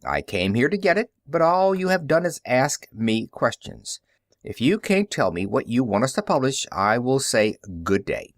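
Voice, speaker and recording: A man's voice: mid-range voice, very animated, moderate pace, no room sound, studio quality. A man voicing a young male newspaperman in an audiobook, earnest, skeptical and matter-of-fact, explaining with emphasis. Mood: impatient.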